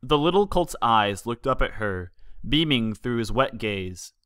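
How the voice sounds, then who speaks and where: A man's voice: mid-range voice, expressive, a little slow, a bit bright, smooth, no echo, studio quality. A man reading a story aloud as scripted narration with dramatic delivery. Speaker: fan fiction reader.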